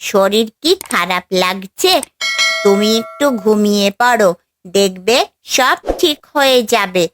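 A woman voicing a caring, loyal female parrot in a fairy-tale cartoon, coaxing gently. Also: medium pitch, expressive, slow pace, noisy background. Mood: soothing. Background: background music.

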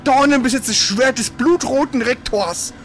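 A high-voiced man comments live on the video game he is playing. He talks casually to a co-player, dropping exclamations, curses and grumbles, with clear noise behind him. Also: moderate pace. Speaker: gaming streamer playing and commenting.

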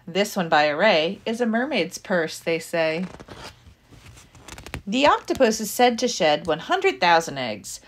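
A woman reading a children's picture book aloud like a teacher, with deliberate, expressive phrasing for young listeners. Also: lowish voice.

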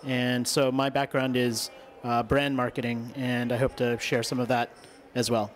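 Guy in his 30s on a conference panel, medium pitch, pretty flat delivery. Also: a little slow. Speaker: panel speaker, marketing author. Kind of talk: conversational self-introduction to an audience. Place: conference hall stage. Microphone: gooseneck microphone at seat.

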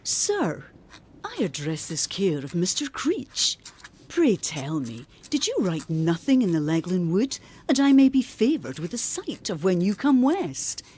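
A woman's voice: lowish voice, very animated, measured pace, mellow, breathy edge, heavily acted. An actress playing a genteel eighteenth-century lady, formal, earnest and admonishing, reading out a letter in a radio drama.

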